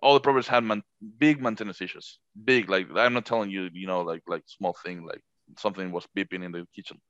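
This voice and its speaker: A man whose first language is Spanish, chatting on a podcast about managing rentals, halting with frequent restarts. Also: deep voice, steady tone, a bit bright, clean tone, no echo, muffled recording. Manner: halting, many restarts, laughs easily. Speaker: short-term rental manager. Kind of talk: casual podcast chat.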